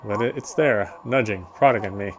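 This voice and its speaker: A man reading a visual novel's text aloud as dramatic narration, solemn and a little halting. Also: on the low side, heavy background noise. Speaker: game player reading story text aloud.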